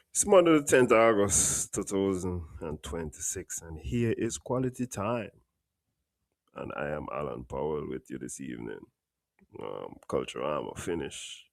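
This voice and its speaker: A Caribbean man hosting a live political commentary show, a little stuffy from a cold, talking plainly and bluntly in a long unhurried monologue. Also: rumbling low, vocal fry, dry recording. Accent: Caribbean English. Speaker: host. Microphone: home studio mic.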